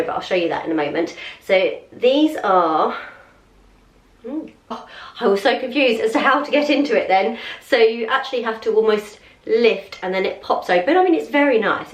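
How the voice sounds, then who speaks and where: A young woman chats away while trying on clothes at home, gushing and doubling her words for emphasis, her nose a little blocked from a cold. She sits back from the camera, so her voice sounds distant and bounces around an echoey room. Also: mid-range voice. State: slightly stuffy from a cold. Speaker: lifestyle vlogger. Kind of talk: casual try-on and unboxing chat.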